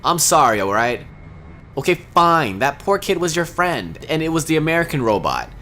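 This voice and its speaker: A woman doing a comedic parody dub, sarcastic and dramatic, swinging from deadpan mutters to frantic shouts. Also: sits low, moderate pace, a little hiss or hum. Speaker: parody voice-over creator. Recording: voice over loud background music.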